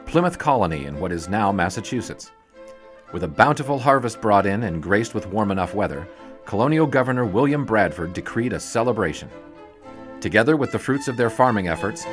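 A man acts a wry sportswriter recalling the 1920s in an audio drama. His voice is fairly low and his storytelling measured, over prominent music. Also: close to an everyday voice. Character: wry older sportswriter narrating memories of the 1920s. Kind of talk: scripted audio drama narration.

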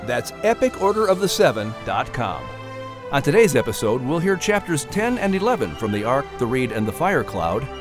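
A man's voice: mid-range voice, very animated, normal speed, music in the background. A man announcing a scripted show in an upbeat way.

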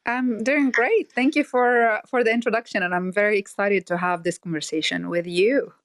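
Woman, from Nazareth, interview guest, mid-pitched, chatty, dry sound. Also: steady tone, moderate pace, no echo. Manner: conversational, with fillers. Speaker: guest, business consultant. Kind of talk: interview answers.

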